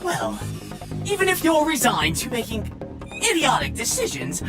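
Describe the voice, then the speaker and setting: A woman's voice: sits high, huge pitch swings, normal speed. A woman voicing a smug, snippy male AI companion in a visual novel game, with formal, sardonic phrasing. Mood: exasperated. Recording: music loud under the voice.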